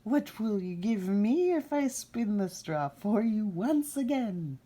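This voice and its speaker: A woman voicing a tiny, scheming storybook manikin, theatrical and sing-song, gleeful and quick to rage. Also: mid-range voice, very animated, warm tone, voice pushed up. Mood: bargaining.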